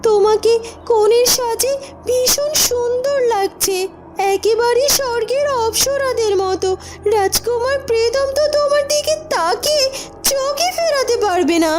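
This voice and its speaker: Woman voicing a very high-pitched sugary young cartoon maiden. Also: a little slow. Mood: sweet, gushing. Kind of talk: cartoon voice acting. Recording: loud music behind. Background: music.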